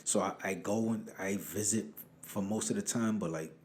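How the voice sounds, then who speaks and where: A man's voice: on the low side, moderate pace, darkish tone, a little hiss or hum. A man from Rhode Island by way of New York, chatting casually as a podcast guest, with slangy, rambling talk that circles back on itself. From born in Harlem, New York, raised in Rhode Island. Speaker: guest, rapper.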